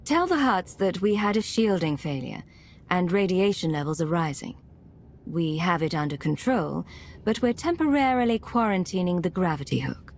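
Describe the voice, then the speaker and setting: A woman's voice: fairly low pitch, expressive, normal speed, darkish tone, a little hiss or hum. A woman playing a controlled, authoritative imperial secret agent in video game dialogue. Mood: commanding. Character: cool, commanding female imperial secret agent.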